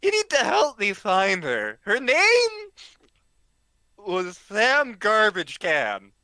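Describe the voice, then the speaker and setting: Guy putting on a drunk college bro, high bright voice, lovesick, stammery, pleading, telling it like story time. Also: takes it slowly, dry recording, exaggerated voice. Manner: stammering, repetitive, excitable. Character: drunk lovesick male college party-goer, earnest and pleading.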